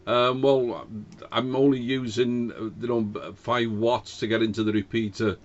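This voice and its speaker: Flat-toned man on lo-fi ham radio. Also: mid-range voice, measured pace. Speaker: amateur radio operator. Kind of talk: casual ham radio contact. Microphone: cheap dynamic microphone over radio. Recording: dull lo-fi radio link audio.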